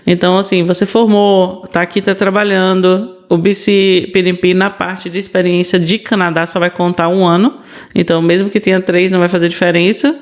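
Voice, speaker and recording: A woman's voice: lowish voice, level tone, fairly quick. A Brazilian woman co-hosting a podcast, explaining things in casual, emphatic conversation and repeating her points. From Salvador, Brazil. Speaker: podcast co-host.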